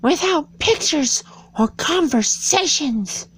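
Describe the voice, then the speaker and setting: A person reading a storybook aloud, voicing a bored young girl in a thin, strained falsetto with a questioning manner. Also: lively intonation, slow pace, fairly bright tone, heavily acted, low-quality recording. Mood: bored, puzzled.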